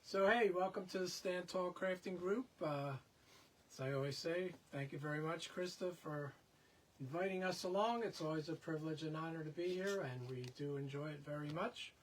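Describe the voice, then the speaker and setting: A man's voice: fairly high pitch, moderate pace, distant mic. An older man co-hosting a live crafting stream, greeting viewers by name and echoing his co-host in a friendly way. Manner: friendly, repeats his co-host's phrases. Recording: off-mic with faint room noise.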